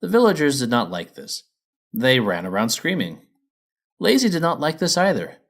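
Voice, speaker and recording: A man's voice: medium pitch, huge pitch swings, normal speed, a bit bright. A man reading a children's story as its narrator, in a scripted storybook style.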